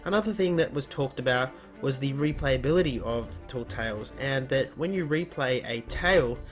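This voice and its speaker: A man's voice: medium pitch, moderate pace. Man, gaming news narrator, informal scripted delivery, mid-range voice, background music. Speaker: host of a gaming news video.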